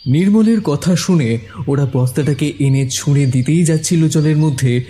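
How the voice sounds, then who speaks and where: Mellow male horror story narrator. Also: fairly high pitch, moderate pace, a little hiss or hum.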